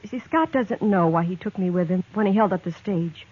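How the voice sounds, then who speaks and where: An American actress playing an earnest, quietly determined young frontier woman in a 1950s Western radio drama. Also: fairly low pitch, a little fast, breathy edge. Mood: explaining, sincere. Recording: tinny, narrow-band 1950s radio recording.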